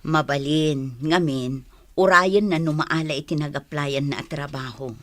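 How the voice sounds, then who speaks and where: A woman's voice: sits low, a little slow, dry recording. A woman with an Ilocano Filipino accent playing a stern, practical village mother in a comedy drama. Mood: matter-of-fact.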